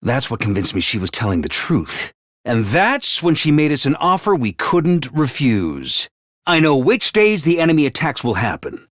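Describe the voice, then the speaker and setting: Squeaky little robot commander guy, super bossy and showy, swoops up and down, bright dry studio sound. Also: very animated, normal speed, dry recording. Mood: scheming. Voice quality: squeaky, over-the-top. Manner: grandstanding, self-important, prone to shouting. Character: small bossy male robot commander, theatrical and excitable. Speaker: voice actor. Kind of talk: video game voice acting. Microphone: dry studio mic.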